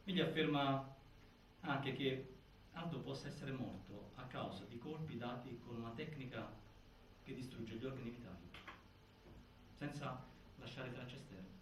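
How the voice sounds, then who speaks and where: An actor playing a grave narrator of a prison death inquiry, reciting findings with emphatic delivery and halting pauses in theatrical documentary style. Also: male voice, mid-range voice, close to an everyday voice. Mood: grave, insistent. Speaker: stage actor narrating an investigation. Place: indoor stage, picked up from afar. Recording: distant, echoey, noisy theatre recording.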